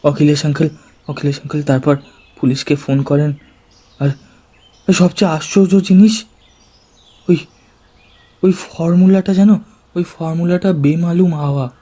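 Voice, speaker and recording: A man playing an earnest, excitable college student in an audio drama, hesitating and repeating words. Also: fairly high pitch, measured pace, long pauses. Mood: urgent, hesitant. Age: young adult. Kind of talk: Bengali audio drama acting.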